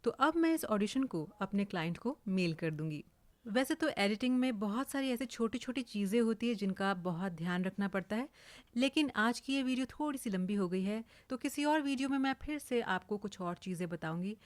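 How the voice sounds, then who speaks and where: An Indian woman giving an informal, explanatory software tutorial, mixing English words into her speech. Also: medium pitch, lively intonation, normal speed, no echo. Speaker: voice-over artist giving a tutorial. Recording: clearly hissy home recording.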